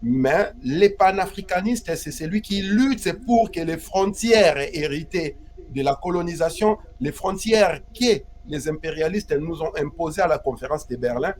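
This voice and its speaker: A man's voice: fairly high pitch, expressive, measured pace, darkish tone, a little hiss or hum. A man from the Democratic Republic of Congo, interviewed as an activist, talking emphatically and repeating his key phrases. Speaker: guest activist. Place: Paris.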